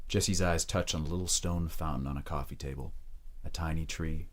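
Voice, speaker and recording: A man narrating an audio drama as a grave, measured storyteller of dark romance. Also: extremely low pitch, steady tone.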